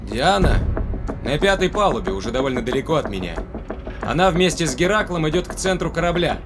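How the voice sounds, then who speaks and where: A man's voice: fairly high pitch, sing-song, brisk, over music. An actor playing a self-assured male spaceship commander in an audio drama, speaking in an offhand, matter-of-fact way.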